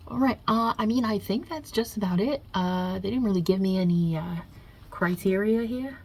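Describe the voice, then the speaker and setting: A woman's voice: medium pitch, normal speed, darkish tone, slightly rough. A woman voicing God as a brash, short-tempered, non-binary Italian mob boss in an ASMR roleplay, with a put-on Italian-New York accent and a blunt, chummy, rambling delivery. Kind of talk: ASMR roleplay interview, improvised.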